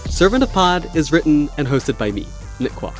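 A man's voice: a little high, sing-song, normal speed, music in the background. A man hosting an interview podcast, speaking in a considered, conversational way. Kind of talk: conversational interviewing, scripted credits.

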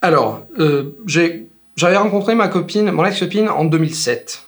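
A man's voice: a little high, moderate pace. Italian man chatting loosely in French. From Italy. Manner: relaxed, rambling, many fillers and restarts. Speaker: guest, language teacher. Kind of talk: casual conversational interview.

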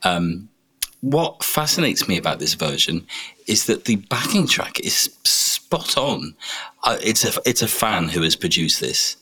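A man's voice: low-pitched, sing-song, a little slow, bright, forceful tone, slightly rough, low-quality recording. A man hosting a casual chat, introducing segments with a wry, laughing manner. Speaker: podcast host.

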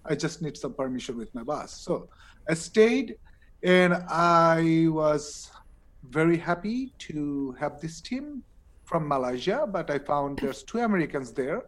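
A man's voice: on the high side, very animated, measured pace, fairly bright tone. A man with a Bangladeshi English accent, telling his story as a guest in a casual interview and laughing between points.

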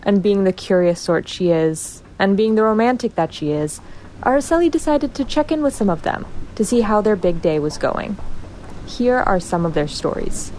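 Woman narrator, expressive pitch, faint hiss behind. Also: normal speed, poor audio. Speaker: radio narrator. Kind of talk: scripted radio narration.

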